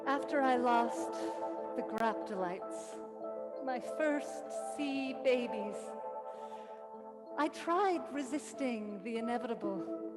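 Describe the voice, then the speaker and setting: A woman playing the Earth as a weary, reproachful ancient mother in a staged play reading, speaking solemnly and deliberately with wry asides. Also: sits high. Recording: music behind the voice. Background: plucked guitar music.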